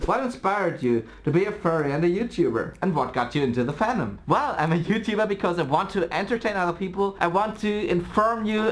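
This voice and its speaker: A man in his twenties with a German accent, a native German speaker hosting a casual vlog Q&A and reading out viewer questions in a playful, giggly way. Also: a little high. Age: 20s. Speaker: YouTuber.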